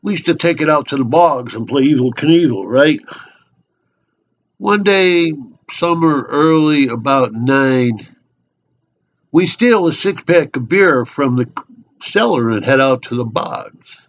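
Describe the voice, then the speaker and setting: A man's voice: medium pitch, lively intonation, slow pace, muffled recording, voice pushed up. A man playing a talkative, oversharing small-town local at a bar in a rambling, eager dramatic monologue. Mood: nostalgic, rambling.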